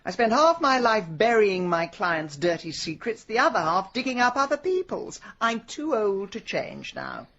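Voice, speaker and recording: An actress playing a brash, determined middle-aged PR woman turned amateur sleuth in a radio drama, sharp-tongued, brisk and bossy. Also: mid-range voice, big pitch swings, muffled recording. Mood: defiant.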